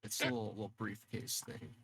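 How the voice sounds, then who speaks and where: A man playing a tabletop game, chatting casually with a flippant, deadpan joking manner. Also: lowish voice, fairly quick, creaky, slightly rough, dry recording.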